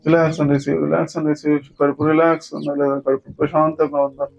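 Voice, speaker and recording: A man with a Telugu accent leading a guided yoga nidra meditation as a teacher, giving slow, repetitive, soothing instructions. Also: on the high side, level tone, creaky, a little mellow. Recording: dull lo-fi sound with music. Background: background music.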